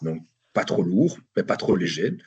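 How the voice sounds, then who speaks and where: A man's voice: low voice, very animated, moderate pace, darkish tone, breathy edge. A man with a Belgian French accent presenting a webinar over a video call, hesitant with many restarts. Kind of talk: live online presentation.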